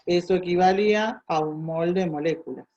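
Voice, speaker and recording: A woman's voice: lowish voice, moderate pace, darkish tone. A woman tutoring chemistry, patiently working through calculations step by step and thinking aloud. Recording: dull lo-fi sound.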